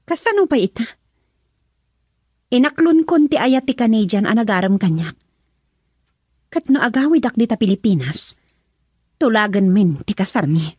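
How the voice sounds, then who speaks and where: A woman playing a young woman who breaks off her engagement in a radio drama, her speech sharp and dismissive. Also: fairly high pitch, big pitch swings, moderate pace, long pauses, slightly rough, no echo, clean studio sound. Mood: defiant.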